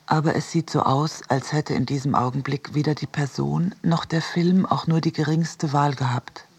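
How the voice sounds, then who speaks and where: A reader delivering a scripted literary essay for radio in a measured, deliberate way. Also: mid-range voice, steady tone, slightly rough.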